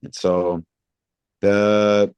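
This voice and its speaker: A man in his thirties from Hawaii, answering interview questions in a thoughtful, measured way with many pauses. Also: on the low side, little pitch movement, darkish tone, clear, resonant tone, dry recording. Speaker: guest, reggae singer. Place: outdoors by a pool among high-rise buildings.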